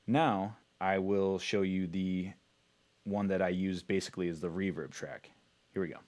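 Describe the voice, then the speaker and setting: A man's voice: sits low, fairly even intonation, moderate pace, darkish tone. A man talking casually as he explains his music production work in an informal, instructional way. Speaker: music producer presenting a tutorial.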